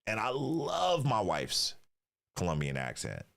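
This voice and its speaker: A man's voice: fairly low pitch, huge pitch swings, unhurried, vocal fry, fairly bright tone. A man hosting a live stream, reacting with slangy, profane banter and reading tips aloud. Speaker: livestream host. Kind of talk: casual live-stream commentary.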